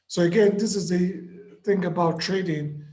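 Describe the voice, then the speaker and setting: Hoarse male trading mentor, high voice, patient and repetitive, echoey room. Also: steady tone, normal speed, darkish tone. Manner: patient, repeats key points. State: hoarse, lost voice. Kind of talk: live trading lesson.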